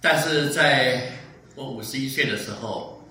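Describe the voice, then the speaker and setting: Man, 70s, measured church testimony, bright tone, distant mic in a reverberant hall. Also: mid-range voice. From Taiwan. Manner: measured, speaks in short sentences. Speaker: guest speaker giving a testimony. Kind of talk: church testimony in short phrases, paused for interpreting.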